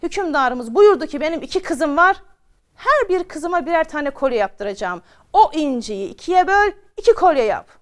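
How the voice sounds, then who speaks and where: Woman putting on a loud, high-pitched royal messenger. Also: big pitch swings, normal speed. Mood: commanding. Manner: declamatory, official. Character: loud, commanding royal messenger, a man of authority.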